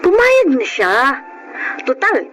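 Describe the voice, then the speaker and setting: High, animated, insistent Filipina friend. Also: female voice, slow pace, soft background music, heavily acted, poor audio. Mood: insistent, exasperated. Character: pushy, concerned female friend urging a wife. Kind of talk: Filipino radio drama acting.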